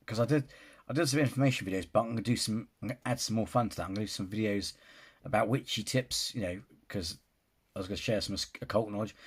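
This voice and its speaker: Guy, husky voice, rambling film-reaction vlogger, casual. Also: fairly low pitch. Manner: rambling, restarts sentences, often says "you know". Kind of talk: casual opinion vlog.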